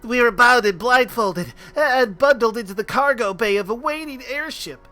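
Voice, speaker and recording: A man's voice: very high-pitched, animated, normal speed, sharp tone, voice pushed up, heavily acted. A man voicing a small, cheerful male airship mechanic, telling a story and tripping over words. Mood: recounting.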